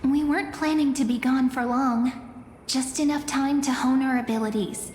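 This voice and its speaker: An actress playing a gentle, serene young oracle card reader in a video game, poetic and deliberate. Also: female voice, high voice, fairly even intonation, a little hiss or hum, close to an everyday voice. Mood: warm, earnest. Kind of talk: scripted game voice acting. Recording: echoing hall reverb, faint music.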